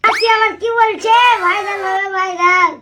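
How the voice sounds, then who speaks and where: A woman playing an exasperated, sharp-tongued village wife in a comedy skit, heard from a distance over music. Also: extremely high pitch, fairly even intonation, normal speed, heavy background noise. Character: scolding, exasperated village wife who grudgingly relents.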